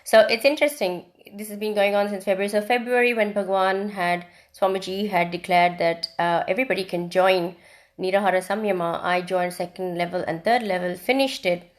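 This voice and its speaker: A woman's voice: mid-range voice, fairly even intonation, brisk. A woman from India teaching on a live video stream in a reflective, casual way, with frequent ums and restarts. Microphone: phone or webcam on a live video stream.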